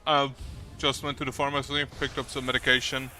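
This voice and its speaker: Bright-voiced man commentating casually on a game. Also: medium pitch, little pitch movement, fairly quick, soft background music. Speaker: game streamer. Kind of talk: casual live gameplay commentary.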